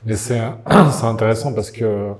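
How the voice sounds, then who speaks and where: A man's voice: on the low side, measured pace. A middle-aged man moderating a design panel, reflecting on points with wry asides before asking questions. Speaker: meetup host, moderator.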